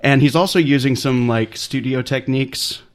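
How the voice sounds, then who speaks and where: A man hosting a casual podcast, loud and jokey, often breaking into laughter. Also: medium pitch, normal speed. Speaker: podcast host.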